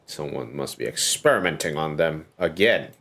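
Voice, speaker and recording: A man's voice: rumbling low, lively intonation, moderate pace, gravelly, a bit bright. A man livestreaming a game, reacting casually with offhand, murmured interjections. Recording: game music behind the voice.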